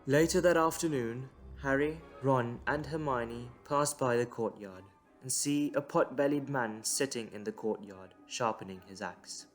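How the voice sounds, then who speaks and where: A man narrates an audio drama in a scripted storybook style. His voice sits at a middle pitch, and faint music plays behind him. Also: measured pace.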